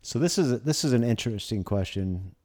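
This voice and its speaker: A man asking interview questions in a relaxed, casual podcast chat. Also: mid-range voice, fairly quick.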